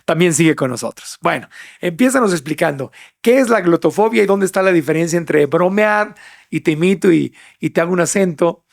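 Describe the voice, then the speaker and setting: A man hosting a talk show, lively and jokey, often chiming in. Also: sits high, a little fast, creaky, dry recording, studio quality. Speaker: host.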